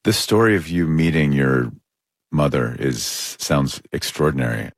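Very deep-voiced sympathetic interviewer. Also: lively intonation, measured pace. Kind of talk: gentle interview questions.

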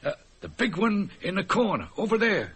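Radio drama boss: older, fatherly, hearty, high-pitched and husky, reassuring. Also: sing-song, moderate pace, fairly bright tone, exaggerated voice. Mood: jovial. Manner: chuckling, reassuring. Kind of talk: old-time radio drama acting.

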